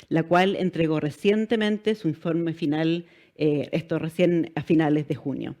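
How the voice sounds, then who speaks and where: A middle-aged woman with a Chilean accent, moderating a panel. She reads guest introductions in a measured way, with frequent "eh" fillers and small stumbles over names. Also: fairly low pitch, darkish tone.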